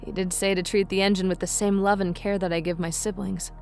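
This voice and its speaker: A woman's voice: mid-range voice, flat delivery, fairly quick, darkish tone, faint music behind, close to an everyday voice. A woman playing a sassy, boastful human spacefarer in a space comedy audio drama, brash and scornful. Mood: cocky.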